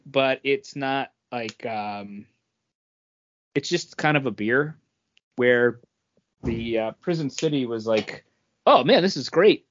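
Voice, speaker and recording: Man, podcast co-host, casual chat, highly varied intonation, dull lo-fi sound. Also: fairly bright tone. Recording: dull lo-fi recording.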